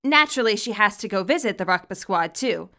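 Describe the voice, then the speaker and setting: Bright, animated woman narrator. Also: mid-range voice, fairly quick. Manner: expressive, wry. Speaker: fan fiction author and narrator. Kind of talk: scripted narration, storytelling.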